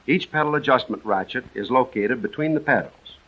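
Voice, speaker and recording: An American man narrating a 1940s military training film in a measured, precise, instructional manner. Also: medium pitch. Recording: dull vintage 1940s film soundtrack.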